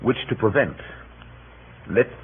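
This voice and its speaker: Hard, persuasive Roman schemer, fairly low voice, classical radio acting on a narrow-band broadcast. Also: male voice, animated, takes it slowly. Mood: shrewd, insistent. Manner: formal, insistent classical delivery. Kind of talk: 1930s radio Shakespeare acting. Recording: tinny, hissing 1930s radio broadcast.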